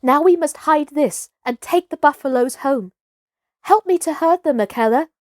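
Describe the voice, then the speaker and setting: A woman's voice: sits high, expressive, normal speed, no echo, studio quality, voice pushed up. A woman voicing a bold, scornful young herdsboy raised by wolves, with archaic, commanding speech in a storybook reading. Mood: brisk.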